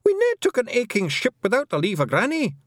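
Tiny jumpy scottish pixie guy, gabbling and shrieky, way up high, storybook character. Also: wildly swooping pitch, fairly quick, dry recording, studio quality, full character voice. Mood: panicked. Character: tiny excitable nervous pixie clansman, male.

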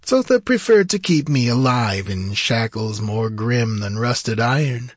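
A man's voice: mid-range voice, expressive, unhurried, slightly rough, no room sound, studio quality. A man voicing an aristocratic, wry sorcerer in a fantasy audiobook, with courtly, archaic and dramatic phrasing. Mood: bitter, haunted. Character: tall aristocratic sorcerer freed from long torment, eloquent, wry.

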